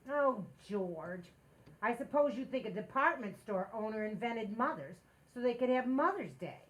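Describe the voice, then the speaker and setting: A woman acts as an exasperated, romantic housewife in old-time radio comedy. Her voice sits on the high side and swoops with expression. She hints and wheedles as she talks. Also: animated, normal speed, natural voice, rough recording. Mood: pleading, romantic. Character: Liz, hinting, romantic, exasperated young housewife.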